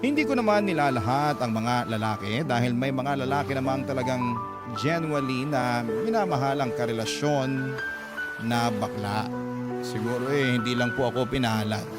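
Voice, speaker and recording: A man's voice: medium pitch, normal speed, natural voice. Radio drama narrator: man as sorrowful, betrayed Filipino letter writer, mid-range voice, earnest delivery, heavy music bed. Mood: sorrowful, reflective. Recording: loud music under the voice.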